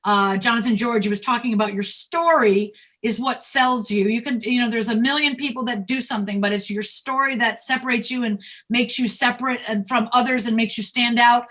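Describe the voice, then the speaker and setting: A woman with a high, very bright and forceful voice hosts a conversational interview. She speaks as an enthusiastic talent manager, effusive and generous with compliments. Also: steady tone, brisk, hardly any pauses.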